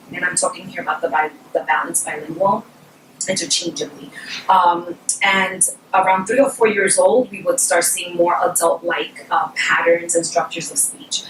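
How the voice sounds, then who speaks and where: A middle-aged woman whose first language is Spanish, explaining bilingual learning in a measured way, with frequent ums and personal examples. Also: fairly low pitch. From Puerto Rico. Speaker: guest speaker on bilingual education. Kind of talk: explanatory workshop talk. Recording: echoey room, mic at a distance, faint noise.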